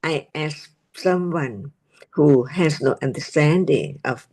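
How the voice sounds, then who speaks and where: Slow, husky woman teacher, Thai native. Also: low voice, a little mellow. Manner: slow, questioning, probing. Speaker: Dhamma teacher. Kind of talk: teaching discussion.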